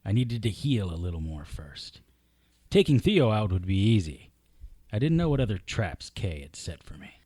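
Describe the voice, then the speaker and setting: A man's voice: on the low side, very animated, normal speed, warm tone, slightly rough. A man playing a wisecracking, defiant occult investigator in an audio drama, wry and sardonic. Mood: tense narration. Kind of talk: audio drama acting with first-person narration.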